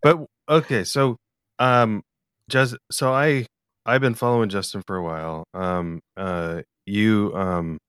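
A man from the US Pacific Northwest with an American accent, hosting a casual podcast interview in a halting, rambling way. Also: on the low side, a bit bright, clean tone, dry recording, clean studio sound. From US Pacific Northwest, eastern Washington. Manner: halting, rambling, hesitant delivery.

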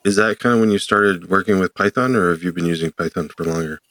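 A man's voice: sits low, fast, a bit bright, no room sound. A man hosting a podcast, curious and conversational as he introduces the episode and asks questions. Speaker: podcast host.

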